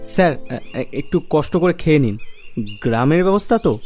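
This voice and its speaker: A man's voice: fairly high pitch, animated, normal speed, darkish tone, faint music behind, natural voice. A man playing an eager, deferential young village helper in acted cartoon dialogue. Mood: obliging.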